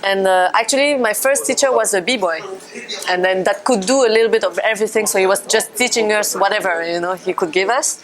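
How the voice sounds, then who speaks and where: A woman's voice: mid-range voice, a little fast. A woman in her thirties from France with a French accent, speaking English as a second language and telling her life as a dancer in a hesitant way, with many fillers and restarts. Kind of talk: casual personal account.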